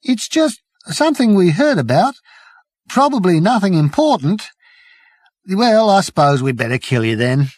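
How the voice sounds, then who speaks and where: Man as a hesitant, high-voiced young alien soldier. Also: very animated, normal speed, a little mellow, dry recording. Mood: uneasy, apologetic. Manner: hesitant, apologetic, mumbling. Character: uncertain, bewildered, mild-mannered young male alien cricketer with gun. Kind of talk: audiobook character voice.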